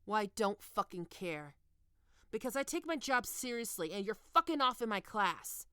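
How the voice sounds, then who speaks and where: A woman's voice: medium pitch, sing-song, moderate pace, pushed and bright, no room sound, studio quality. A woman playing a stern, taunting goth college professor in an ASMR roleplay, with a scolding, condescending manner. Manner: scolding, condescending, dropping into breathy murmurs.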